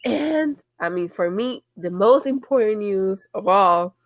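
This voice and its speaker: A woman's voice: mid-range voice, lively intonation, a little slow. A young woman from Puerto Rico co-hosting a casual podcast, playful and swearing, slipping into Spanish, sounding congested from allergies. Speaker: podcast co-host. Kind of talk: casual chat.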